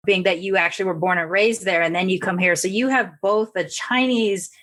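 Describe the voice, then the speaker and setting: A woman hosting a casual interview podcast, asking questions in a relaxed, conversational way. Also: medium pitch, a little fast. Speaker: podcast host.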